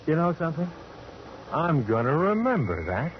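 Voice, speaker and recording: An actor playing a teasing, laconic police detective with an easygoing manner in 1940s radio style. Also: male voice, a little high, sing-song, moderate pace. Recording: muffled, faintly noisy old radio broadcast.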